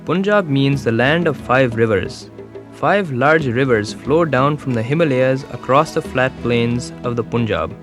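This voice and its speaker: Brief: a man reading as a village schoolboy telling his own story, calm and measured, mid-pitched, music underneath. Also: lightly acted. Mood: earnest. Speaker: documentary narrator. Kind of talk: scripted documentary voice-over.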